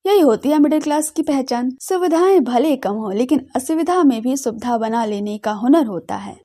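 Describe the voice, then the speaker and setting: A woman's voice: fairly high pitch, mellow. A woman narrating a scripted audio drama story as a radio storyteller.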